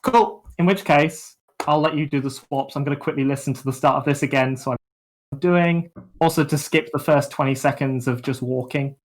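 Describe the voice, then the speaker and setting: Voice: mid-range voice, normal speed, poor audio. A young adult hosting a livestream, chatting casually in a playful, self-mocking way. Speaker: livestream host and kazoo performer.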